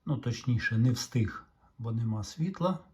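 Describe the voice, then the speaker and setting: A middle-aged Ukrainian man in his home workshop, explaining a repair in a calm, musing way with small hesitations, recorded on the camera mic. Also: mid-range voice, moderate pace, lo-fi sound, background noise. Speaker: repair vlogger. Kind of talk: hands-on repair walkthrough.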